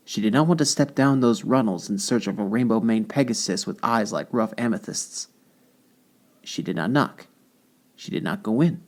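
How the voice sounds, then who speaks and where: A man narrating a dramatic scripted story in a deliberate, solemn manner. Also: lowish voice. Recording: music behind the voice. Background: instrumental music.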